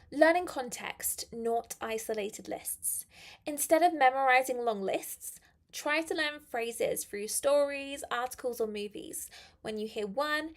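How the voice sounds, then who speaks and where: A young woman leads a live lesson, her voice a touch croaky and pitched a little high. She talks in a friendly, chatty way, with fillers, and slows down to stress the key phrases. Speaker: English teacher. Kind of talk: live-stream English lesson.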